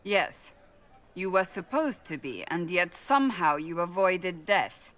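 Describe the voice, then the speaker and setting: A woman's voice: on the low side, very animated, a little slow, fairly bright tone, in a large hall. A woman playing a stern, suspicious warrior in a video game, speaking bluntly and formally.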